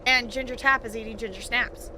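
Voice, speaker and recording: A woman chatting casually and animatedly on a game livestream, halting mid-thought as she goes. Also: on the high side, steady tone, sharp tone, slight background noise, poor audio. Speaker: video game live streamer. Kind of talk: casual livestream chat over gameplay.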